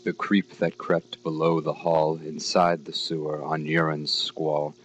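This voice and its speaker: Very deep-voiced man reading horror. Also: completely flat delivery, a little slow, slight background noise. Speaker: story reader. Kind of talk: scripted horror story narration.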